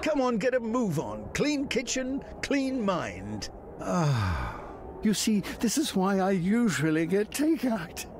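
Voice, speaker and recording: A fussy, emotional male chef from a cartoon game, speaking high and slowly. His delivery is nervous and dramatic, leaping all over in pitch, with noise behind him. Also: huge pitch swings, over a noisy crowd, exaggerated voice. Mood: fussy, eager. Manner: hesitant, flustered, dramatic. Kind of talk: cartoon video game acting.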